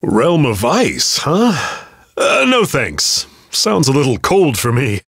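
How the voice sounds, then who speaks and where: An actor playing a cocky, hearty young armored warrior lord in a video game, with a bold, playful swagger. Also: male voice, medium pitch, huge pitch swings, takes it slowly, fairly bright tone, breathy edge, dry recording, clean studio sound, exaggerated voice. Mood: cocky, easygoing. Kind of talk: English video game character voice clips.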